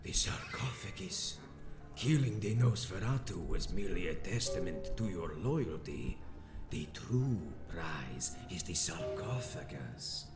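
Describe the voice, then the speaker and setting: An actor playing a grandiose, cold and imperious vampire leader in a video game, speaking in a pompous, ornate way. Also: male voice, very deep voice, echoey room, heavily acted. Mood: cold, intrigued. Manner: pompous, ornate, deliberate delivery. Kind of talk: theatrical video game villain acting.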